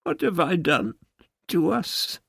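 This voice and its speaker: A man's voice: sits high, huge pitch swings, takes it slowly, vocal fry, a bit bright, husky, no room sound, studio quality, voice pushed up, heavily acted. A man voicing an earnest, anguished woman in an audiobook story, with a pleading, emotional delivery. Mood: sobbing, pleading.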